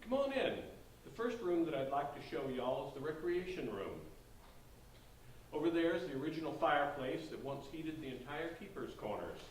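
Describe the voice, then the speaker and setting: A man's voice: on the high side, very animated, moderate pace. A man playing a courteous, folksy real estate agent giving a sales tour in a staged play reading. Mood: courteous, selling. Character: polite Southern real estate agent showing an old inn. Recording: echoey hall, distant mic, faint noise.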